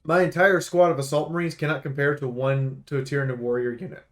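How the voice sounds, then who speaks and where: Higher-voiced man, blunt and aggrieved. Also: steady tone, fast talker, a crowd in the background. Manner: blunt, emphatic, aggrieved complaining. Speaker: video game preview host. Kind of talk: unscripted game review commentary.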